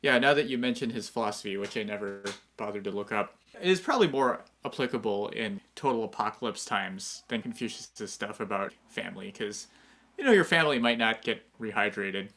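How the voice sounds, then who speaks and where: Relaxed joking man on a podcast. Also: fairly low pitch, fairly quick. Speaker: podcast co-host. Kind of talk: casual book discussion.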